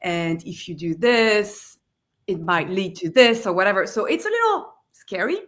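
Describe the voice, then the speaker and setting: A woman's voice: mid-range voice, big pitch swings, normal speed, fairly bright tone. A woman in her thirties with a French accent, a company founder speaking casually on a webinar panel with many fillers. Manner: conversational, many fillers like "like" and "you know".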